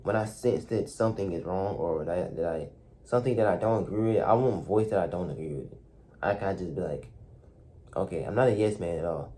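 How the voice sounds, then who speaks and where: Soft-spoken low young man, hesitant. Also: fairly quick. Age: young adult. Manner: soft-spoken, hesitant, many fillers and restarts. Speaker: vlogger. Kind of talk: reflective talk to camera. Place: dark room.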